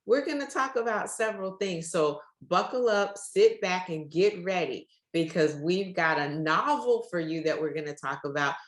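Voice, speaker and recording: Lady host w a lowish voice, gushing to viewers on a faith interview show, roomy echo. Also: expressive, moderate pace, hardly any pauses. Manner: effusive, addressing the audience directly. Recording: roomy, echoey sound.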